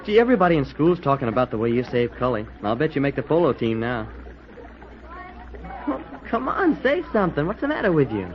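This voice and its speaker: An actor playing a loyal, talkative schoolboy chum in 1940s radio style, frank, concerned and boyishly slangy. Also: male voice, medium pitch, very animated, brisk. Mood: concerned, prodding. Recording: noisy, dull old radio recording.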